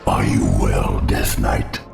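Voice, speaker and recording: A man's voice: takes it slowly, exaggerated voice. A man playing an ancient, solemn undead insect king in a video game, with a monstrous reverb on his voice. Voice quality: very deep, gravelly. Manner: solemn, formal. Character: ancient undead insect king, grave loyal servant of the Scourge. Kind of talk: video game cutscene acting. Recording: echoing voice with music behind.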